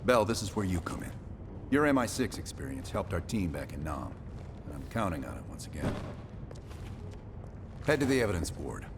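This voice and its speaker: An actor playing a grave, commanding CIA field officer in a video game, speaking tersely. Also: male voice, deep voice, sing-song, normal speed, pauses often, noisy background. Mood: businesslike. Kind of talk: cinematic video game voice acting. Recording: loud music behind the voice.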